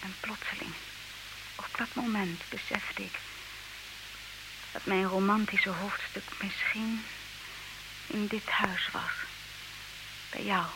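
An actress playing a proud, disillusioned wife in an old radio play, speaking in measured, reproachful, theatrical diction. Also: female voice, mid-range voice, fairly even intonation. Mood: pained, wistful. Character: disillusioned, proud wife leaving her clever husband. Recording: hissy old radio recording, clear noise.

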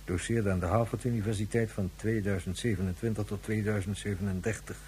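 A man acting in a radio play as an authoritative psychiatrist and director, formal, deliberate and pensive. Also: fairly low pitch, steady tone, darkish tone, slight background noise, close to an everyday voice. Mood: calm, measured. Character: measured, authoritative male psychiatrist and director, sceptical but fair.

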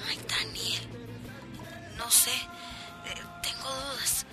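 A nervous, unsure teenage girl in a radio drama. She is high-pitched and hesitant, with a Costa Rican accent, and music plays behind her. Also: flat delivery, extremely slow. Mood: secretive, anxious.

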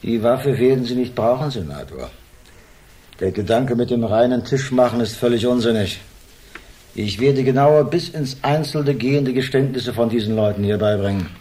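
A man's voice: medium pitch, normal speed, warm tone, lightly acted. A man acting in a German crime radio drama as a menacing, bullheaded political boss, blunt, sneering and impatient.

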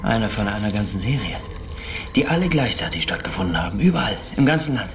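An actor playing a brooding male train-crash survivor who spins dark theories, in a radio drama. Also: fairly low pitch, sing-song, over music. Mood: ominous. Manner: slow, musing, ominous.

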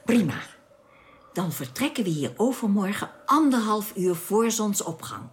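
A woman's voice: medium pitch, very animated, measured pace, loud music behind the voice. A woman playing a resolute alien in a science-fiction radio drama, explaining things decisively. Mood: composed, decisive. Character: calm, resolute alien woman helping spacemen escape.

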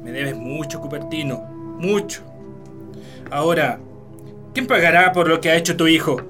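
A man's voice: on the high side, normal speed, music in the background, close to an everyday voice. A man with a Chilean accent playing a harsh, domineering landowner in a radio drama, commanding and threatening. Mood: menacing, bitter.

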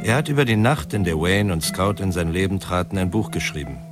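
A man's voice: deep voice, expressive, brisk. A man narrating a radio drama epilogue in a formal, scripted style. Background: music.

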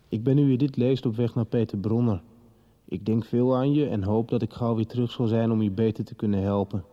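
A man's voice: mid-range voice, mellow, lightly acted. An actor playing an affectionate young man reading out his letter in a radio drama. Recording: long reverb, dull muffled sound.

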